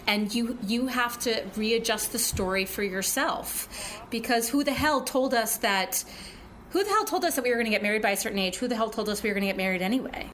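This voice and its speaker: A woman's voice: on the high side, a little fast, fairly bright tone, a little hiss or hum, rough recording. A woman in her forties hosting a podcast, talking in a frank, emphatic way with casual swearing. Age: 40s. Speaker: podcast host. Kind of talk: casual chat.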